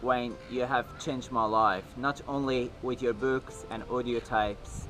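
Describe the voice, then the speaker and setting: A man in his thirties with a Persian accent, speaking an earnest personal video message with many pauses and fillers. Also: medium pitch, steady tone, poor audio. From Iran. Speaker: video maker. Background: music.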